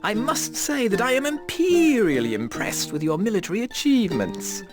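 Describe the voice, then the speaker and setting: A smug game nobleman flatters in oily, pompous phrases. His pitch rises and falls in a lively way, with faint music behind. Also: normal speed. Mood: smug flattery. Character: pompous scheming male nobleman, smugly flattering.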